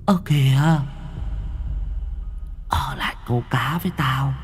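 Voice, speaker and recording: A man's voice: medium pitch, takes it slowly, soft background music, pitched above the performer's own voice, close to an everyday voice. A man voicing a moaning female river ghost in a storybook ghost tale. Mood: luring. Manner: wailing, moaning.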